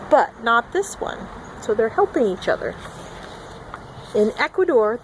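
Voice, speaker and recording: A woman's voice: a little high, a little hiss or hum, low-quality recording. A woman reading a picture book aloud to young children as a teacher, expressively, with simple asides and acted-out sound words.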